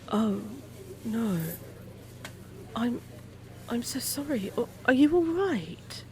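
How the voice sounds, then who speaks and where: A woman acting in an intimate audio roleplay as a kind, gently teasing private eye, talking softly-concerned and conversational to a stranger. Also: medium pitch, natural voice. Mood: shocked, sympathetic. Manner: soft-hearted, hesitant, questioning, playful asides.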